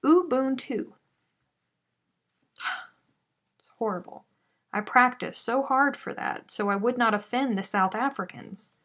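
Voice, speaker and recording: A woman's voice: fairly high pitch, slow, pauses often, fairly bright tone. A woman hosting a live crochet stream, chatting casually and self-deprecatingly as she reads out viewer comments.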